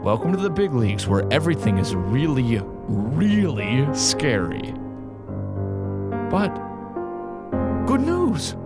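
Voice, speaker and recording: An actor playing a cornered, calculating colonel in an audio drama, with a deliberate, theatrical, condescending way of talking. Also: male voice, mid-range voice, wildly swooping pitch, over loud music. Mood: smug, persuasive. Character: cornered, calculating male colonel, menacing and smug.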